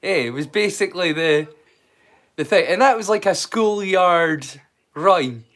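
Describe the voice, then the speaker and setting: A man's voice: high voice, animated, unhurried, a bit bright. A Scottish man chatting casually on a live stream as a storyteller, rambling and swearing freely.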